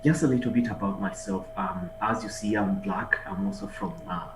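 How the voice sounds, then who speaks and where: A man, speaking energetically over a video call. He urges listeners on with repeated calls. The room sounds echoey, with clear music playing behind him. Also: on the low side, normal speed. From Uganda. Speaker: guest speaker, online marketer and trader. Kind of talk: motivational conference presentation.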